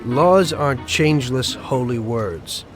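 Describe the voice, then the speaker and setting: A man's voice: mid-range voice, animated, slow pace, soft background music. An actor playing a street-smart, unapologetic mobster in a video game, tough and slangy in his way of talking. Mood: matter-of-fact, defensive. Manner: tough, plain-spoken, slangy.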